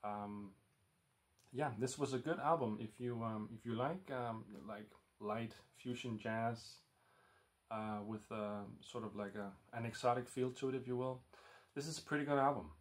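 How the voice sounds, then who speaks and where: A man's voice: mid-range voice, normal speed. A man talking casually to the camera at home, hesitant and full of ums and restarts. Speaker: record collector vlogger. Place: home room lit by a lamp.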